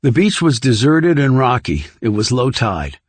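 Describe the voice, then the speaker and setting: A man's voice: medium pitch, big pitch swings, normal speed, no echo. A man reading scripted thriller narration as an audiobook narrator.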